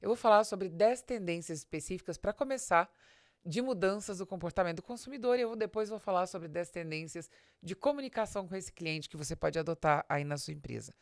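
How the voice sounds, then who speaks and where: A woman's voice: on the low side, a little fast, dry recording, studio quality. A woman with a Brazilian Portuguese accent hosts a business podcast in a conversational, explanatory monologue, addressing the listener directly with questions and examples. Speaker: podcast host and business advisor.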